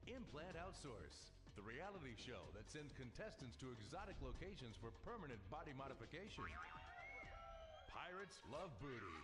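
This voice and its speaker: A man's voice: medium pitch, sing-song, moderate pace, lightly acted. A man playing an upbeat, slick reality-show announcer in a parody broadcast promo. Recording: music bed behind the voice.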